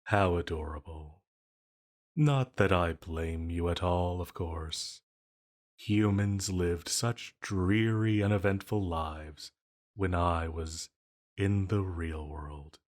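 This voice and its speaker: A man playing an ancient banished villain in a roleplay audio drama, flirty and condescending, with a measured, silky, intimate delivery. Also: deep voice. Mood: smug, flirty.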